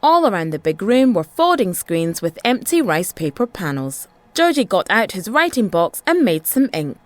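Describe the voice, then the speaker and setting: Female storyteller for children's tales, dramatic and rhythmic, with a highly lively, swooping pitch. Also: no echo. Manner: dramatic, rhythmic delivery with repeated phrases.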